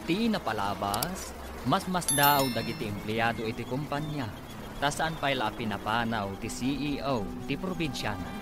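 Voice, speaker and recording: A man narrates a radio drama as its announcer. His mid-pitched voice rises and falls dramatically. Music plays clearly behind him.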